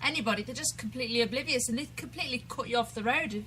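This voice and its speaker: British lady cohost, bright voice, giggly, faint hum behind her. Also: on the high side, racing. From Britain. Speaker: co-host. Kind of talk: casual chat.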